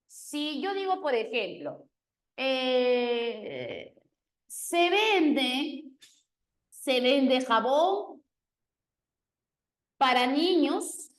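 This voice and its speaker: A woman's voice: sits high, very animated, extremely slow, pauses often. A woman teacher giving an online exam-prep grammar class, explaining step by step and checking in with her students as she goes.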